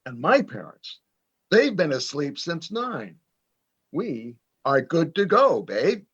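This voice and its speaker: A man's voice: fairly high pitch, sing-song, takes it slowly, a bit bright, slightly rough, muffled recording, full character voice. A man playing a teasing, restless middle-aged husband and father in an amateur radio play, coaxing and playful.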